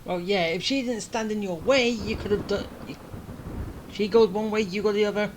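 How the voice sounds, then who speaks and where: A woman's voice: mid-range voice, moderate pace, background noise. A woman doing casual gameplay commentary, reacting and muttering to the game as she plays. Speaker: gaming video host, player. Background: game music.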